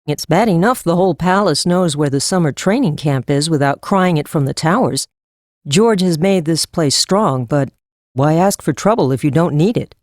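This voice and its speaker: An actress playing a brisk, wry horse trainer in an audiobook, matter-of-fact in her delivery. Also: low-pitched, lively intonation, a little mellow, no room sound, studio quality. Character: brisk, wry woman horse trainer, seasoned and dry-humored. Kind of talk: full-cast audiobook character acting.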